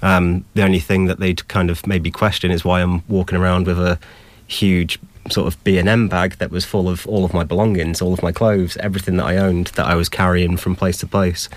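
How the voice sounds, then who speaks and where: A man's voice: sits low, flat delivery, a little fast, few pauses, dry recording. A young man with a British accent giving an articulate, thoughtful personal account as an interview guest. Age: young adult. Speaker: interview guest sharing his experience.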